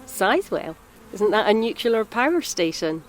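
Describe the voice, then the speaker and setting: A woman playing a practical, kindly council carer in an audio drama, speaking in a gentle, coaxing, matter-of-fact way. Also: medium pitch, sing-song, normal speed, slight background noise, lightly acted, low-quality recording. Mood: concerned, puzzled.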